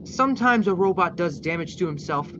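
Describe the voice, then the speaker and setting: A clinical, matter-of-fact male scientist acted by a man in a radio drama. His pitch moves animatedly as he explains. The sound is muffled, with clear noise behind. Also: lively intonation, a little fast. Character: clinical, detached male scientist at robot factory. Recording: noisy, dull live drama recording.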